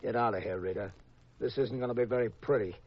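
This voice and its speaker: A menacing gambling boss from a 1950s radio mystery, played by a man. His voice is mid-pitched and husky, his politeness thin and threatening. The old recording sounds dull. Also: fast talker, natural voice. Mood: insistent, threatening.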